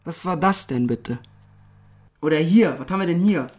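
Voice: medium pitch, animated, normal speed, a little mellow, natural voice. A performer playing a chatty, mock-serious host interviewing a guest in a radio drama. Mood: puzzled.

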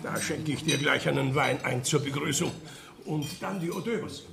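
A man's voice: on the high side, normal speed, creaky. A man playing a heavy, comfort-loving middle-aged Dutch uncle in a radio drama, jovial and leisurely, mixing in English phrases. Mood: jovial, welcoming.

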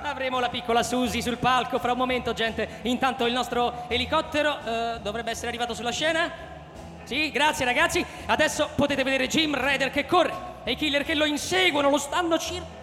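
An actor playing an excitable, slick TV game show announcer of a deadly manhunt in a radio drama, with sensational showman patter. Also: very high voice, brisk, echoey room, long reverb tail, soft background music. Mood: excited alarm.

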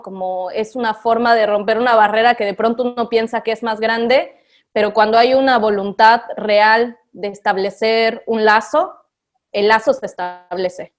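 A woman teacher, reflecting aloud in a radio interview with frequent fillers. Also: a little high. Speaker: literacy teacher.

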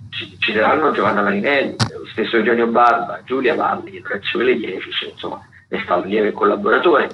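Halting male radio host, muffled noisy sound. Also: on the low side. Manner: halting, stop-and-start phrasing with repeated words. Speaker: radio host presenting theatre listings. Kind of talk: semi-improvised spoken event listings on radio.

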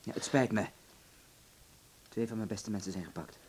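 A man's voice: on the low side, normal speed, a little mellow, a little hiss or hum, close to an everyday voice, poor audio. An actor in a radio drama playing a hard, unyielding man guarding his household, blunt, stern and clipped. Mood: stern, defensive. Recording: faintly clicky old radio recording.

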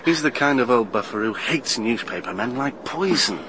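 An easygoing young newspaperman, played by an actor, in a radio drama. He chats genially, and his pitch bounces up and down a lot. A faint hiss sits under the voice. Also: normal speed, lightly acted. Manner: chatty and genial, lively pitch. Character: cheerful young male journalist, easygoing.

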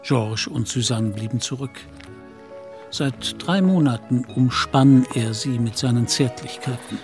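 A man's voice: mid-range voice, measured pace, a little mellow, soft background music. A man reading scripted literary narration as the storyteller of a German radio play.

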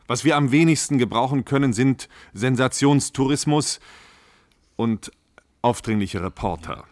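An actor in a radio play as an evasive government spokesman, speaking in a measured, bureaucratic, placating way. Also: mid-range voice, expressive, no room sound, studio quality, natural voice. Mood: defensive, insistent. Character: evasive, appeasing male government spokesman playing down a crisis. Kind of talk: radio play acting as a panel discussion guest.

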